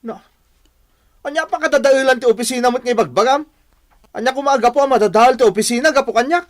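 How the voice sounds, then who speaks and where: A man's voice: extremely high pitch, fast. A man with an Ilocano accent playing a brash, defensive office messenger and husband in a Philippine radio drama, needling and argumentative. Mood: defensive, irritated. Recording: music under the voice.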